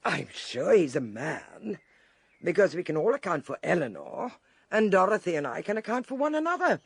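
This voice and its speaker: An actress playing a shrewd, eccentric older British lady detective in a radio drama, theatrical, deliberate and drily amused. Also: lowish voice, big pitch swings, husky, dry recording, full character voice. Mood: assured, probing.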